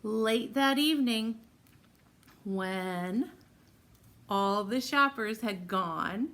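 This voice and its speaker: A woman's voice: mid-range voice, pushed and bright. A woman reading a storybook aloud to young children, encouraging them and putting questions to them directly as friends. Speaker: children's read-aloud presenter.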